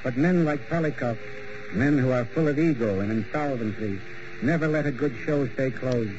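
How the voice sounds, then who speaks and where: A man narrating a story in measured, literary style for a 1940s radio drama. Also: medium pitch. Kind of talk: scripted narration. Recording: muffled old radio broadcast with hiss.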